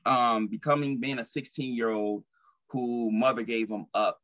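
A man's voice: mid-range voice, fairly even intonation, a little slow, fairly bright tone, no echo. A young man talking informally and earnestly as an interview guest on a video call, often repeating his phrases. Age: young adult. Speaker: stage actor and guest. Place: indoor room at home, on a video call.

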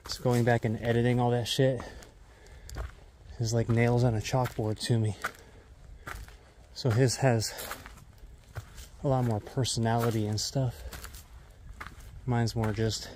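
A man in his thirties talking casually to his head-mounted camera as he hikes a dark mountain trail at night, rambling and swearing freely. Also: mid-range voice, flat delivery, unhurried, long pauses, slight background noise. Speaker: vlogger. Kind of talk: casual vlog narration while hiking. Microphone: head-mounted action camera.

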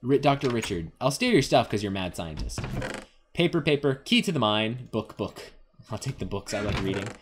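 A man giving casual, rambling playthrough commentary as a gaming host, jokey and excitable. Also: on the low side, normal speed, background noise.

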